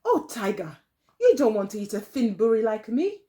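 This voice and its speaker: A woman's voice: a little high, sing-song, moderate pace, warm tone. A woman voicing an anxious old mother in a children's tale, pleading in a plaintive sing-song.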